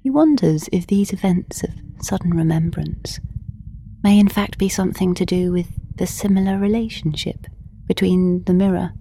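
A woman narrating a scripted story in an intimate, hushed and measured manner, pausing between short phrases. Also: on the low side, vocal fry, faint music behind. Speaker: narrator of an audio drama.

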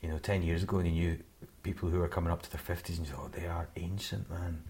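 A man's voice: sits low, steady tone, quick pace, a little mellow. A man, talking casually in an interview. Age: 40s. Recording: noisy background with clicks.

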